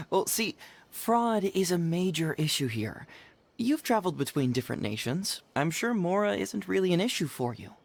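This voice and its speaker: Light-voiced, breezy young detective. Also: male voice, sits high, big pitch swings, unhurried, vocal fry, exaggerated voice. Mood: earnest, explanatory. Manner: easygoing, articulate, explanatory. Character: breezy, clever, earnest young male detective. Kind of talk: video game dialogue acting.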